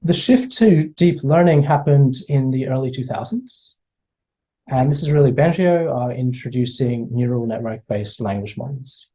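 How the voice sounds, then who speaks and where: A man's voice: mid-range voice, animated, normal speed, dark tone, low-quality recording. Hesitant Australian man lecturing. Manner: hesitant, repeats words, many fillers. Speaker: guest speaker. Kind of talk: online lecture. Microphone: video call.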